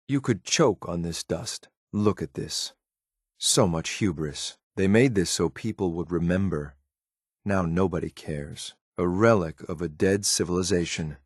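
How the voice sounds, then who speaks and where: A grim synthetic soldier from a video game, with a low voice in a dry, close recording. He speaks in clipped, deadpan phrases with cold disdain for the world around him. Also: husky, no echo. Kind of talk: scripted video game companion lines.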